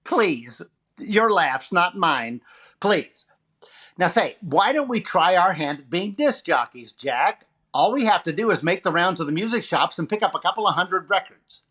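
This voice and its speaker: A man's voice: high-pitched, very animated, measured pace, bright, forceful tone, full character voice. A man playing a brash, wisecracking comedian as a jive-talking disc jockey in 1940s radio comedy style, with showy, punchy patter. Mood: bantering.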